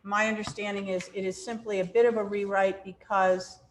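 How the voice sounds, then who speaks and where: A woman serving as mayor, chairing a village council meeting and calling the roll in a brisk, procedural way. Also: medium pitch, little pitch movement. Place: council chamber. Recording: echoey council chamber with faint noise.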